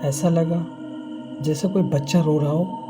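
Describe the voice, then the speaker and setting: A man's voice: a little high, fairly even intonation, a little slow, music in the background, natural voice. A man playing an uneasy, stranded young man who mutters to himself in a horror audio drama.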